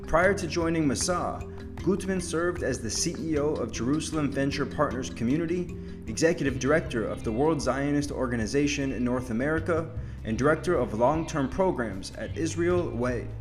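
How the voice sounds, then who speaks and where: A man's voice: a little high, normal speed, music in the background. A man hosting a podcast, reading a polished intro and asking his guest questions. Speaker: podcast host. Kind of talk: scripted intro and interview questions.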